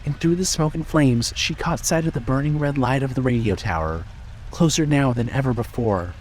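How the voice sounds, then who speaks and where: A man's voice: medium pitch, moderate pace, poor audio. A man narrating a scripted horror story in a dramatic style. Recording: loud ambient noise behind voice.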